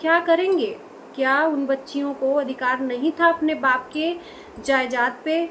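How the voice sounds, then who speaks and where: High-pitched woman giving emphatic commentary, noisy recording. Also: measured pace, fairly bright tone. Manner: emphatic, full of rhetorical questions. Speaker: online commentator. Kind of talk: opinionated vlog commentary on a family dispute. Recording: noticeable background noise.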